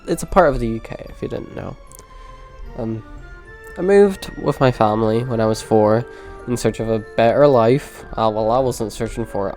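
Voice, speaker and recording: A young man with a Northern Irish accent talks through his life in a relaxed, mellow tone, trading in dry, self-deprecating asides. Faint music plays softly behind him. His voice sits at an ordinary middle pitch. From Poland. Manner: dry, self-deprecating, low-key delivery. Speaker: vlogger. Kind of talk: casual storytelling monologue.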